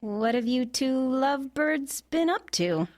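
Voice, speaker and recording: A woman plays a younger amateur detective in an audio drama. She is tactful and guarded, talking slowly and haltingly in a fairly high voice with plenty of movement in it. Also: lively intonation, a little mellow. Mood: awkward, probing. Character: hesitant, wary amateur sleuth, a younger small-town woman.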